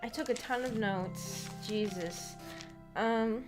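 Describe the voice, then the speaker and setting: Giggly high-voiced woman chatting on a livestream. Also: slow pace. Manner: giggly, informal, rambling with false starts. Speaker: livestream host of a visual novel book club. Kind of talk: casual livestream chat with viewers. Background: background music.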